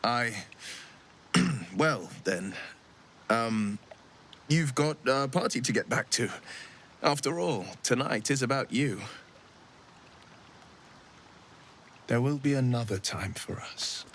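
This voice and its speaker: An actor playing a courtly, romantic young adventurer in a fantasy video game, tender and bashful, with gallant, poetic phrasing. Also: male voice, mid-range voice, animated, slow pace, pauses often, a bit bright, slight background noise.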